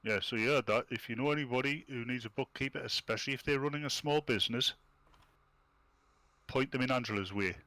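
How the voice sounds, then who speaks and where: Man, medium pitch, dry room-free sound, playful, casual banter, mock-serious. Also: fairly quick, pushed and bright, no room sound. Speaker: panelist on a talk show.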